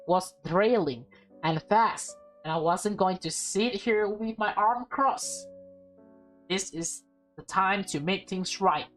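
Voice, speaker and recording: A woman reading a visual novel aloud with playful, dramatic delivery and casual asides. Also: mid-range voice. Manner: expressive, playful, acts out dialogue. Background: music.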